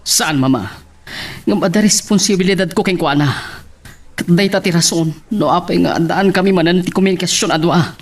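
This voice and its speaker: A man's voice: sits high, brisk. Hesitant young husband with an Ilocano accent, acted by a man. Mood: anxious, confessing. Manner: hesitant, stammering. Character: evasive young husband hiding a secret, anxious and hesitant. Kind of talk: amateur Ilocano radio-style romance drama acting.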